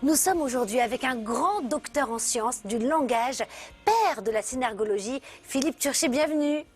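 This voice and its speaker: Woman host, slightly high voice, chatty and upbeat, lots of fillers. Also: animated, normal speed, soft background music. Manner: chatty, enthusiastic, many fillers. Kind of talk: magazine show interview.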